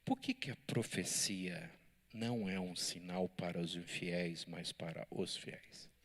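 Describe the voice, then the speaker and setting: A man, a pastor, teaching a Bible study in church with animated, lively delivery and banter with his listeners. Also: deep voice, unhurried.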